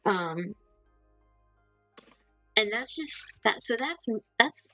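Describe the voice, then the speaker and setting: A woman's voice: a little high, lively intonation, drawn-out words, bright, forceful tone, soft background music. A woman with a mild Southern American accent, chatting loosely with her live audience, rambling and laughing often. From Southern United States. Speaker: livestream host. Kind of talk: casual chat with a live audience.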